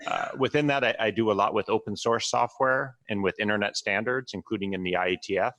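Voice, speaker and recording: A man's voice: on the low side, fairly quick, a bit bright, no room sound. A man introducing himself at a conference, upbeat and eager, with a few restarts. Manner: upbeat and enthusiastic, some restarts. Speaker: conference presenter.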